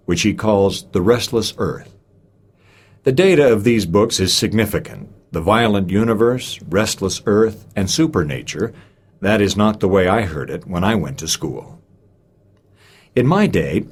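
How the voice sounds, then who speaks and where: A man reading a lecture aloud in a measured way, giving expressive emphasis to key phrases and quotations. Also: lowish voice, husky. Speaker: reader of a recorded speech.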